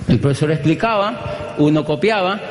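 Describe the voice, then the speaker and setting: A middle-aged man with a Colombian accent tells his story to an audience in a slightly high voice, hammering home phrases through rhythmic repetition. The sound bounces around a large hall with a long reverb tail and a faint room hum underneath. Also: normal speed. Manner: animated, rhythmic repetition, acts out dialogues. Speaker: motivational speaker.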